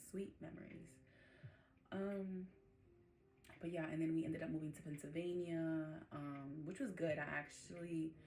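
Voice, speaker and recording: A young woman with a low, gravelly voice, talking through her life story with a lot of ums and likes. She sounds like she is recording in an echoey room, with a faint hiss underneath. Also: darkish tone. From Dominican Republic. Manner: rambling, many ums and likes. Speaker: vlogger. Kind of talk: personal storytelling vlog.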